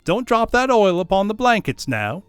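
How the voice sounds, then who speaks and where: A man's voice: high-pitched, sing-song, normal speed, clear, resonant tone, no room sound, soft background music, pitched above the performer's own voice. A man voicing a brazen, scornful charwoman in a storybook reading, coarse, gloating and emphatic. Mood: brazen, coolly defiant. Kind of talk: storybook character voice.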